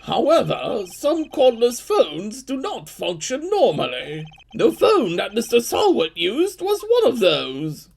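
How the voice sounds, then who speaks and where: Woman voicing a raspy, creaky, haughty prosecutor. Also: a little high, wildly swooping pitch, slow pace, hardly any pauses, a little mellow, exaggerated voice, rough recording. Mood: formal, assured. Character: haughty, dismissive courtroom prosecutor. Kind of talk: fan-dub game voice acting.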